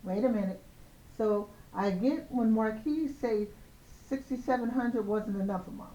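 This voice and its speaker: A slightly hoarse woman hosting a show of casual opinion commentary on celebrity news, speaking in an emphatic, admonishing way. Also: on the high side, a little slow, mellow. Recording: roomy, echoing, dull lo-fi sound.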